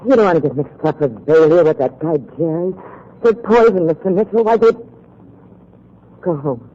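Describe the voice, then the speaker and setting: An actress playing a frightened, evasive American dame in a 1940s radio crime drama, guarded in manner. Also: female voice, on the low side, expressive, normal speed, mellow. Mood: guarded, pleading. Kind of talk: 1940s radio noir acting. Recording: muffled, noisy 1940s radio recording.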